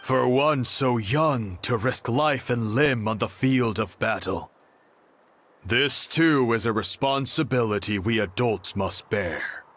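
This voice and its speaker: Stern chief character for a game, dry and weighty, slow talker. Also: male voice, medium pitch, fairly bright tone, a little hiss or hum. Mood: solemn. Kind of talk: video game voice acting.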